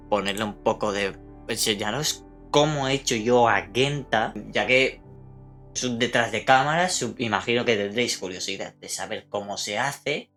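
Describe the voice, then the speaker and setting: A man's voice: medium pitch, pushed and bright. A man with a Castilian Spanish accent, an amateur dubber talking casually to camera. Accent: Castilian Spanish from Spain. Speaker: amateur dubber and video creator.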